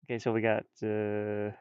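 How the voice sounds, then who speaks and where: A man's voice: fairly low pitch, smooth, dry recording, lo-fi sound. A man streaming casually as he draws, muttering and thinking aloud in folksy, informal speech. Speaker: streamer and hobby artist. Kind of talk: casual livestream chat while drawing.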